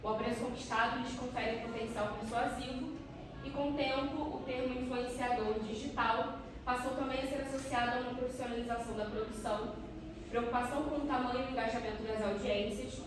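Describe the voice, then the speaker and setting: High-voiced Brazilian woman in a very echoey room. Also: steady tone, a little fast. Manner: informal asides, frequent tag questions. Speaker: doctoral student presenting research. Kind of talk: academic conference presentation, semi-spontaneous. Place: echoey indoor room at academic conference. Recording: echoey room, distant mic, clear noise.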